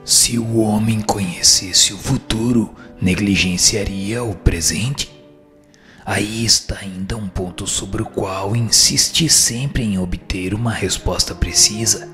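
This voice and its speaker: A man voicing a bright, low-pitched solemn spirit teacher. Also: slow pace. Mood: firm, cautioning. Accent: Brazilian. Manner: didactic, formal. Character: instructive male spirit, wise, firm and solemn. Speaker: answering spirit in a radio drama. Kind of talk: scripted dialogue, formal reading. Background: music.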